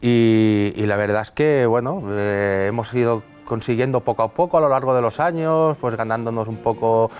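A man's voice: mid-range voice, moderate pace, hardly any pauses, a little mellow, clear, resonant tone, soft background music. A man in a band answering interview questions in a hesitant way, full of fillers and restarts. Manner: hesitant, many fillers and repeated words. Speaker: band member.

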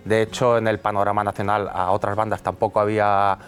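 A man's voice: lowish voice, little pitch movement, brisk, a bit bright. A man in a band answering interview questions in a hesitant way, full of fillers and restarts. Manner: hesitant, many fillers and repeated words. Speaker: band member.

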